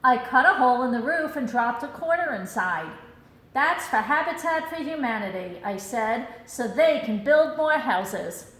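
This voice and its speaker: A woman reading a children's picture book aloud in an expressive storytelling style. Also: high voice, a little slow, reverberant, rough recording. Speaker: storybook reader.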